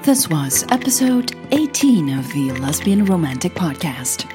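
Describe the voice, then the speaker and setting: A woman narrating scripted audio fiction as a podcast storyteller, in a measured, dramatic way. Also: sits low, big pitch swings, music in the background.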